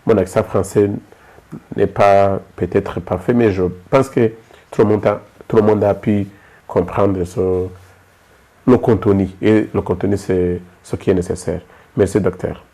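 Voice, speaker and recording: A man's voice: on the low side, steady tone, a little slow, mellow. A man from Rwanda with a Rwandan accent, hosting an interview in a hesitant way, with many fillers. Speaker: host.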